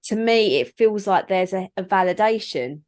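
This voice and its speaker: A woman's voice: mid-range voice, expressive, normal speed, darkish tone. A woman in her thirties hosting a podcast, chatting casually and rambling with lots of fillers. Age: 30s. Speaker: podcast host. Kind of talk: casual interview chat.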